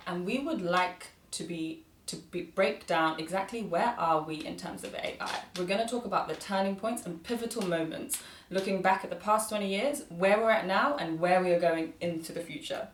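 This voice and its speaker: Brief: woman podcast host, lowish voice, polite scripted questions, recorded from a distance in an echoey room. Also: normal speed. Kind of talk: conversational podcast interview. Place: conference venue, indoors. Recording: echoey room, distant mic, faint noise.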